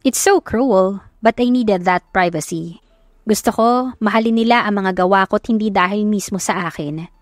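A woman's voice: medium pitch, normal speed, no room sound, studio quality. A woman narrator reading a scripted first-person love story in a dramatic audiobook style.

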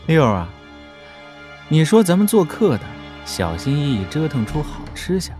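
An actor playing an informal, expressive young prince who leans on his adviser, talking in a familiar, colloquial way in a wuxia audio drama. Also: on the high side, measured pace, faint music behind. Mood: wry, amused.

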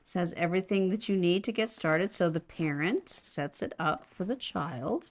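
A woman with a low-pitched voice co-hosts a relaxed product review. She talks casually as she unboxes and demonstrates an item. The recording is made indoors in a home. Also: moderate pace.

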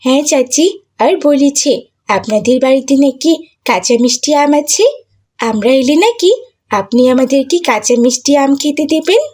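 High-pitched cheeky little girl voiced by a woman. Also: expressive, normal speed, darkish tone. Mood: chatty. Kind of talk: cartoon dialogue.

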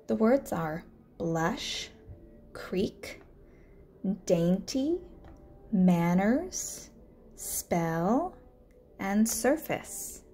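Woman reading a storybook with lively intonation. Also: mid-range voice, extremely slow, long pauses, faint music behind. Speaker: learning coach reading aloud. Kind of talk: children's storybook narration.

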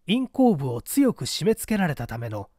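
A man reading a mystery story aloud in an expressive, scripted narrator's delivery. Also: on the high side, moderate pace, dry recording, clean studio sound. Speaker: audiobook reader, small-theatre actor.

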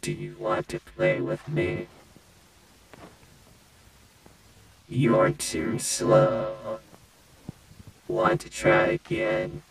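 A man voicing a broken animatronic rabbit villain with a gravelly voice, taunting with mock-friendly, playful menace in horror-game lines. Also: fairly low pitch, big pitch swings, drawn-out words, heavily acted, rough recording. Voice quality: gravelly, creaky. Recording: loud music under the voice.

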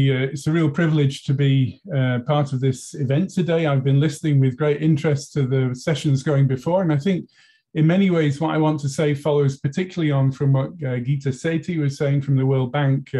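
A British man in his sixties, a professor speaking on a conference video call in a measured, thoughtful way from his office. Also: medium pitch, fairly even intonation, dark tone. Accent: British English. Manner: measured, thoughtful, frequent restarts. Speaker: university professor, panel speaker. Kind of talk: conference panel talk. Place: office with bookshelves.

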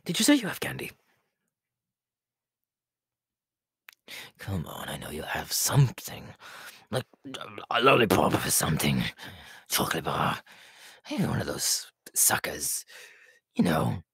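A man playing an inhuman, menacing, giggly killer clown in a horror roleplay, with a raspy, breathy voice that slips into whispers and a rambling, teasing manner. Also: low-pitched, huge pitch swings, normal speed, fairly bright tone, no room sound, clean studio sound, full character voice. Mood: wheedling, greedy. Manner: rambling, teasing, laughing at himself, erratic swoops. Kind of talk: in-character horror roleplay monologue.

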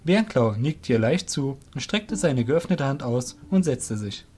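A man's voice: medium pitch, sing-song, a little slow, warm tone, breathy edge, faint music behind. A man giving scripted narration for a fantasy audio drama.

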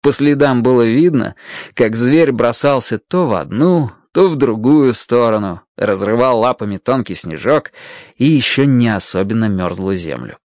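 A narrator reading a radio-play story in an expressive, scripted storytelling style. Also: medium pitch, moderate pace, dry recording, lo-fi sound.

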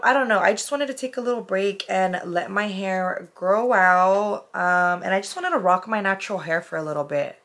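A young woman chats to the camera in a loose, rambling way, full of fillers. Her voice is a bit hoarse and raspy. She talks from her bedroom, close to the camera. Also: mid-range voice, moderate pace, few pauses, fairly bright tone. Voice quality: chatty, lots of fillers like "like" and "um". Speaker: vlogger. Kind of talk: casual chat to camera. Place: bedroom, indoors.

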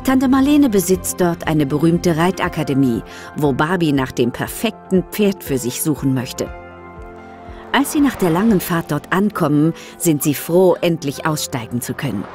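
Low-pitched woman storyteller. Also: expressive, normal speed, mellow. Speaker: narrator. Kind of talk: scripted storybook narration in present tense. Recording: music clearly under the narration.

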